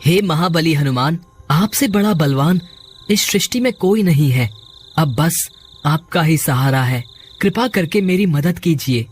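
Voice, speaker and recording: A man's voice: a little high, animated, measured pace, a little hiss or hum. A man playing anxious, earnest village brothers in a cartoon horror story, speaking in a dramatic, exclaiming way. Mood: pleading. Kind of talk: Hindi cartoon story acting.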